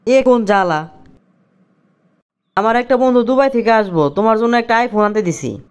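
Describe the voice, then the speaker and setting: Casting: henpecked young cartoon husband, played by a woman, high-set animated voice, Bangladeshi accent, incredulous complaining. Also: moderate pace, clean tone, natural voice. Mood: dismayed. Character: exasperated, henpecked young husband pleading with wife. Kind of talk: comic cartoon dialogue in colloquial dialect.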